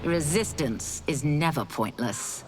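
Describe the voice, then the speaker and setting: A woman's voice: low-pitched, animated, slow pace, low-quality recording. A woman playing a defiant, vengeful young warrior in a video game, resolute and dramatic. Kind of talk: video game cinematic acting. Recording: loud music behind.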